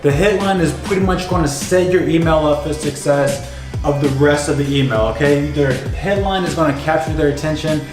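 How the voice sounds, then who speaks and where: A young man with a stuffy, cold-blocked voice, pitched a little high, teaches at a whiteboard in a bright office. His delivery is direct and energetic, and he keeps checking in with the viewer. The room echoes, and music plays clearly behind him. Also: fairly quick. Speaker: business coach. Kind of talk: whiteboard tutorial.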